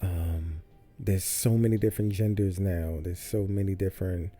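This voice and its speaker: Mellow-voiced man hosting a solo podcast. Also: deep voice, steady tone, moderate pace, soft background music. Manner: loose, rambling, repeats himself. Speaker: podcast host. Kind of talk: casual solo podcast monologue. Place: home studio.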